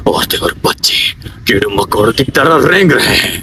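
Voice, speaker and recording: A man voicing a sadistic anime demon villain, gloating slowly and menacingly in a breathy, whispery voice. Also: mid-range voice, lively intonation, voice pushed down, close to an everyday voice. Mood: menacing glee. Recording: noisy outdoor recording, distant mic, traffic.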